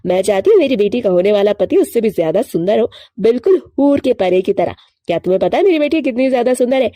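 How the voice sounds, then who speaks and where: A proud mother bird, acted by a woman narrator, haughty and quick to scold. Her tone is disapproving but mellow in timbre, recorded very dry for a cartoon moral story. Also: a little high, animated, no echo, lightly acted.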